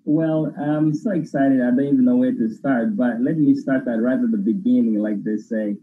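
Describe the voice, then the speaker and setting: A man's voice: medium pitch, steady tone, moderate pace. A man from Angola whose first language is Portuguese, speaking with an Angolan Portuguese accent as an effusive, grateful interview guest who laughs while he talks. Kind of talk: casual interview chat. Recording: tinny phone line with faint noise.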